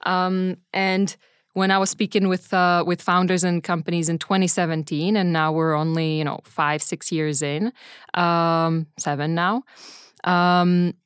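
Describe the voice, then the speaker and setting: Smooth lower-pitched woman in conversation. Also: level tone, unhurried, dry recording, studio quality. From Czech Republic. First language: Czech. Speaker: interview guest, venture capital investor. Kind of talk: casual conversational interview.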